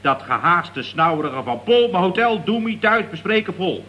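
An actor playing an eager, chatty and guileless office underling in a comic radio serial. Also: male voice, high-pitched, very animated, moderate pace, bright, forceful tone, dull audio, exaggerated voice. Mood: obliging, explaining. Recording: old radio recording with faint noise.

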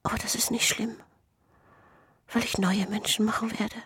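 A man's voice: high voice, little pitch movement, pitched above the performer's own voice. A man whispering as a fanatical experimenter. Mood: fervent, hushed. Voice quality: breathy, whispered. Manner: slow, grandiose, hushed. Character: fanatical male experimenter promising to remake humanity. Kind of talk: radio drama monologue.

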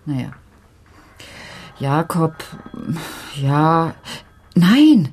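An actress in a radio crime drama playing a defensive schoolteacher around forty who talks haltingly and insistently and is easily agitated. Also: female voice, low voice, big pitch swings, darkish tone, a little hiss or hum, full character voice. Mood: flustered, bitter. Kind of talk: naturalistic German radio crime drama acting.

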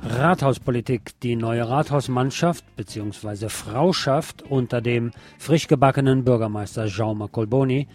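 A man's voice: mid-range voice, a little mellow. A man presenting news items as a scripted magazine-style read. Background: music.

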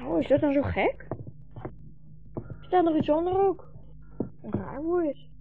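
High-voiced, earnest young boy. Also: expressive, unhurried, creaky, heavily acted. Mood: curious. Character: tired, earnest, polite thirteen-year-old boy. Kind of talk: 1960s radio play acting. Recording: dull 1960s radio recording, music behind.

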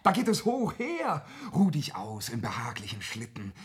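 An actor playing a young man gently comforting his dying mother in a radio play, with soft, soothing storytelling. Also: mid-range voice, wildly swooping pitch, moderate pace. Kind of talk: German radio play acting.

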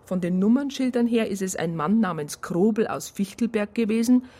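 Southern German woman recounting a case, acted, mid-pitched. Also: lively intonation, normal speed, dark tone, close to an everyday voice. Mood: exasperated recounting. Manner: conversational, detailed, exasperated storytelling. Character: earnest, aggrieved female doctor recounting a police case. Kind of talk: first-person radio drama narration.

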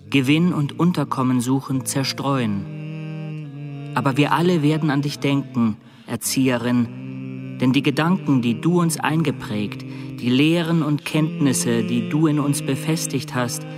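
A solemn male voice of middling pitch an actor's as an earnest, dutiful pupil in a radio drama. He declaims formally and sternly, in measured phrases, with music clearly behind him. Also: close to an everyday voice. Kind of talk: literary radio drama acting.

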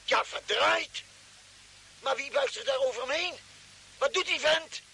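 An actor playing a cautious, concerned expedition doctor in a vintage radio drama, speaking in an insistent, cautioning way. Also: male voice, very high-pitched, unhurried, creaky, pushed and bright, exaggerated voice. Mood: puzzled, tense. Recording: hissy old radio recording.

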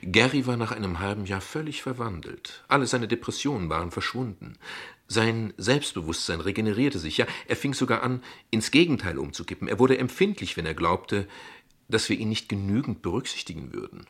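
An actor playing a guarded, ironic father in a radio play, speaking in measured, eloquent, formal sentences. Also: lowish voice, animated, a bit bright, slightly rough, full character voice. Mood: explaining, measured. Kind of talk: radio play dialogue acting.

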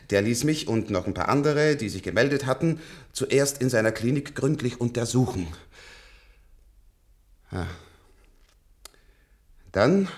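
A male actor playing a hesitant, doubting man in a German radio play, speaking in a polite, questioning way. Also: fairly low pitch. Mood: halting, reminiscing. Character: hesitant, doubting man recalling his youth as research subject.

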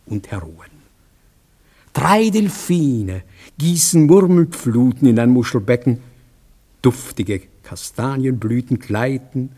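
Slow, husky man reciting verse dramatically. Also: medium pitch, a little mellow.

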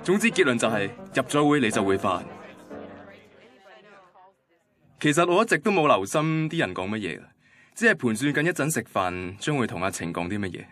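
A man acting as a heartbroken young man tells of his first love in a radio play. His Hong Kong Cantonese voice moves up and down freely, wistful and self-deprecating, with music clearly playing underneath. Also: medium pitch, sing-song, fast talker, a bit bright. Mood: weary, sardonic. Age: young adult. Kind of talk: radio drama narration and dialogue.

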